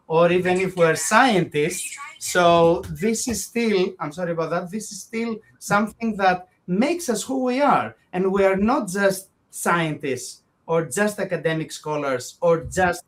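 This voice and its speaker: A man's voice: high voice, a little slow. A man from Greece with a Greek accent, speaking as an interview guest in long, earnest, winding sentences that often restart. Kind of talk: conversational interview.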